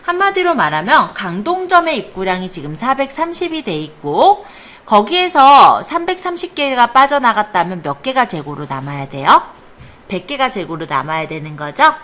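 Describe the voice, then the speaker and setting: A woman's voice: fairly high pitch, very animated, moderate pace, fairly bright tone. A woman teaching a small computer class, guiding students step by step with patient questions. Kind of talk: hands-on classroom instruction. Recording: echoey classroom.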